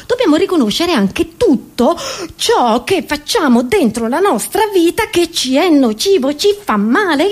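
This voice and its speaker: Animated, high-voiced woman doing stand-up. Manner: theatrical, mock-confused, addressing the audience with rhetorical questions. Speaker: comedian. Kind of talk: stand-up comedy monologue.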